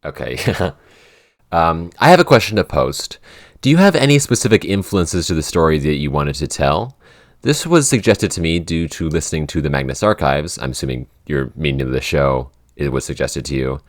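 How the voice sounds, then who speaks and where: A man's voice: very deep voice, lively intonation, moderate pace, no room sound, clean studio sound. A man hosting a casual podcast Q&A, talking in a rambling, informal way. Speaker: podcast host and show's writer. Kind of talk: casual Q&A chat.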